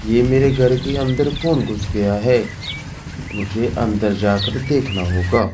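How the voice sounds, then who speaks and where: A man's voice: mid-range voice, slow pace, close to an everyday voice. Man voicing a scheming cartoon eagle, distant and noisy. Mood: angry. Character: greedy, scheming male hunter eagle feigning kindness. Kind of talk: Hindi children's cartoon voice acting.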